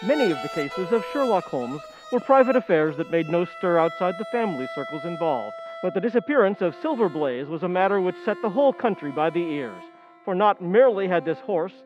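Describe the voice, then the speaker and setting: A man playing a genial gentleman narrator in an old-time radio drama, telling the tale in an earnest, formal way. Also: high voice. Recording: dull lo-fi sound, faint music.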